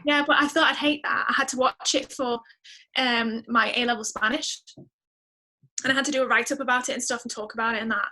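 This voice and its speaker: A woman's voice: on the high side, steady tone, fairly quick, pushed and bright. A woman chatting casually as a guest, emphatic and thinking aloud as she goes. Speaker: podcast guest, singer-songwriter. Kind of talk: casual chat.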